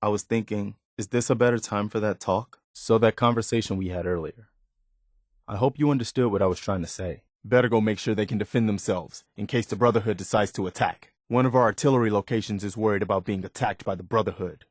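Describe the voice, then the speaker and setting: An actor playing an earnest, loyal, plain-spoken militia man in a video game, sincere and measured. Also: on the low side, no echo, clean studio sound, close to an everyday voice. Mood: businesslike concern. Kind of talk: naturalistic video game dialogue.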